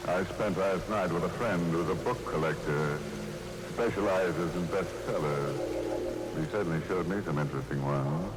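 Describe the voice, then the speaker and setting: An actor playing a sardonic horror-show host in a 1940s radio drama, drawling macabre puns. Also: male voice, sits low, unhurried, rough recording. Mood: sinister, mocking. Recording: old radio broadcast with loud music.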